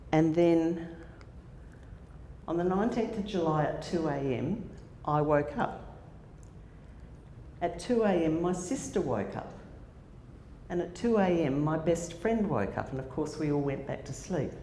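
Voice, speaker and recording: Aussie lady in her 60s giving a talk, low mellow voice, big echoey hall. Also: lively intonation, unhurried, long pauses. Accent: Australian. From Australia. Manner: warm storytelling with fillers and restarts. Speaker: conference speaker. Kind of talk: personal story told to an audience. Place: conference hall. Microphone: handheld microphone. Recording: echoey hall with faint noise.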